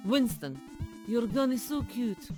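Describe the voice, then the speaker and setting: A woman's voice: fairly high pitch, big pitch swings, measured pace, dark tone, faint music behind. Proud Russian strongwoman. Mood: mocking, boastful. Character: proud, blunt, judgmental Russian strongwoman soldier. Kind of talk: video game character acting.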